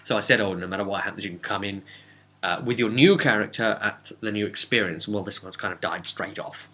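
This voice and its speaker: A man speaking as a game master, giving a casual, rambling recap of a role-playing session with dramatic pauses and asides. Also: on the low side, pushed and bright. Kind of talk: casual spoken recap and storytelling.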